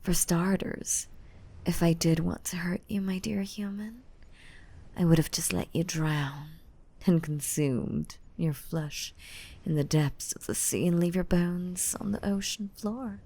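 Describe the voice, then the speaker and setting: Casting: gentle nurturing mermaid for ASMR roleplay, female actor, slow and soothing, lowish whispery voice. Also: steady tone. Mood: calm, reassuring. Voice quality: breathy, whispery. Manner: soothing, doting, uses pet names. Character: gentle, nurturing mermaid rescuing a drowning human. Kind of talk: roleplay ASMR audio drama.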